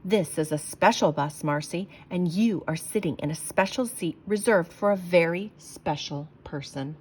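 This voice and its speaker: A calm, kindly talking bus, as a woman reading a storybook puts it on, speaking slowly and reassuringly to a nervous passenger. The voice sits a little low and stays gentle and unhurried. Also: breathy edge.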